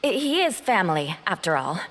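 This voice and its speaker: A woman playing a proud, composed young knight in a video game, speaking formally and deliberately. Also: on the low side, big pitch swings, fairly bright tone. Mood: proud, guarded.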